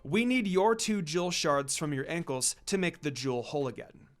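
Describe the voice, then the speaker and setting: A calm, kind young woman, voiced by a man reading aloud. She speaks gently and reassuringly. The intonation stays lively. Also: fairly high pitch, moderate pace, soft background music, natural voice.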